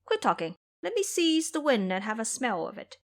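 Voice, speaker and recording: A woman voicing a bold, cocky male monkey hero with a brisk, teasing manner in an audio drama. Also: on the high side, sing-song. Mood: confident.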